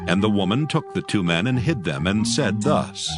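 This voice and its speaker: Scripture narrator, man: lower pitch, expressive intonation, measured and formal, music bed.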